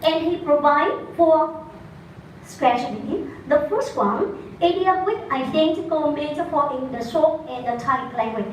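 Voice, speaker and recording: A woman's voice: sits high, animated, a little slow, a little hiss or hum. A woman with a Vietnamese accent giving an academic conference talk, explaining earnestly and often correcting herself mid-word. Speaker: conference presenter. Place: conference room. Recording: echoey hall, far from the mic, faint ambience.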